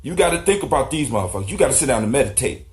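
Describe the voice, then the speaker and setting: A man's voice: medium pitch, big pitch swings, very fast, darkish tone. A man hosting a talk show, teaching in an informal, preacherly way with slang. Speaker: host of a discussion show. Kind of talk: teaching talk, reading and explaining proverbs to guests.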